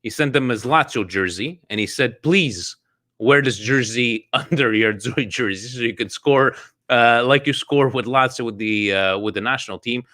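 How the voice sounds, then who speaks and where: A man's voice: medium pitch, normal speed. A man hosting a casual podcast chat, asking the others questions with a lot of fillers. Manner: lively, questioning, hesitant fillers. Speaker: podcast host.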